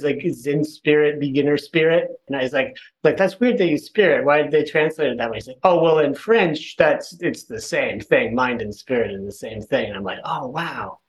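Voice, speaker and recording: Male discussion participant, average pitch, conversational and a little tentative. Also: rough recording. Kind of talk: casual group discussion.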